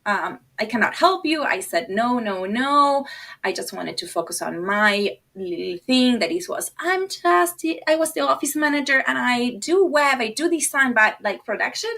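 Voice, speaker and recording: A woman's voice: on the high side, expressive, normal speed, vocal fry, sharp tone. A middle-aged woman from Argentina, a native Spanish speaker, telling stories casually and animatedly, with self-deprecating asides and acted-out dialogue. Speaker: podcast guest, designer and producer. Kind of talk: casual conversational storytelling.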